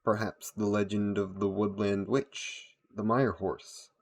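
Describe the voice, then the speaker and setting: Slow, low-voiced courtly male vampire acted by a man. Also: flat delivery. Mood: courteous, curious. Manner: formal, old-fashioned, courtly wording. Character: ancient male vampire, courteous, smooth-tongued, predatory former king. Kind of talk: intimate audio roleplay acting.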